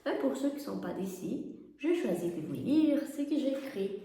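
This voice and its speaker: A woman whose first language is Portuguese, a yoga teacher gently reading her own wellness column aloud from her home and guiding the listener through breathing. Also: fairly high pitch, sing-song, moderate pace. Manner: gentle, guiding, with soft laughs. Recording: very echoey room.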